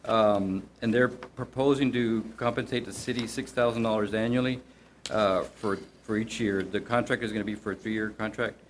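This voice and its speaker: A city staff man presenting an item to a city commission, halting often with ums and repeated words. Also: on the low side, steady tone, a little hiss or hum.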